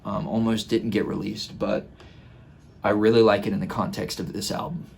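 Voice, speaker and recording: A man in his twenties talking casually and reflectively, explaining his work in a halting way, recorded in a small home room. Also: lowish voice, flat delivery, gravelly, a little hiss or hum. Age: 20s. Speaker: musician and host breaking down own song. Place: small home studio bedroom indoors.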